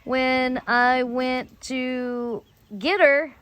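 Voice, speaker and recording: An American woman telling rambling personal stories casually as a host, in a drawn-out way with some swearing. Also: high voice, little pitch movement, a bit bright, clean tone. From United States. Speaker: host of a personal storytelling show.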